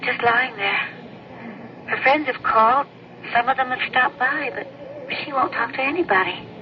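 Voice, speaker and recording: A woman playing a gentle, deferential house companion in a radio drama, heard as a telephone voice. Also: sits high, normal speed. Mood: sympathetic. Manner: sympathetic, deferential. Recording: noisy, muffled telephone line on old radio.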